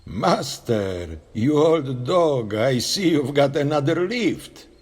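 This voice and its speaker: A man playing a gruff, suspicious guard in an audio drama, blunt and wary in his colloquial talk. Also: mid-range voice, big pitch swings, slow pace, a little mellow, heavily acted.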